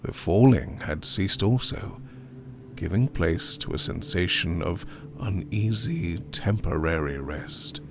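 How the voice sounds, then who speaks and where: A man performing a haunted survivor in a dramatic gothic horror audiobook, grave and theatrical, with hushed near-whispered phrases. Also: deep voice, lively intonation, slow pace, noisy background, heavily acted. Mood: uneasy, intense. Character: haunted male survivor of an opium overdose, recounting horror. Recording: studio narration over music.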